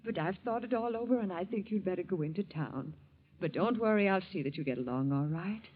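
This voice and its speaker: An actress in a 1940s radio drama playing a gentle, indulgent woman, soothing and hesitantly apologetic, on a muffled, noisy old recording. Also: lowish voice, animated, a little fast, lightly acted. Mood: pleading.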